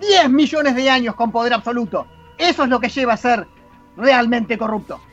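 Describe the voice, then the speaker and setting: A grumpy, indignant time-traveling alien declaims in a high voice with an Argentine accent , acted out by a man. He sounds like a radio-show oration against corruption. The recording is dull, with music faintly underneath. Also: normal speed. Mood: indignant, energetic.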